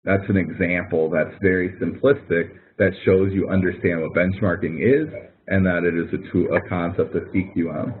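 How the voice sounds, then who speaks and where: A man's voice: sits low, fairly even intonation, moderate pace, few pauses. A male instructor giving a webinar lecture in a conversational way, walking through examples and correcting himself as he goes. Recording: tinny phone-like webinar audio.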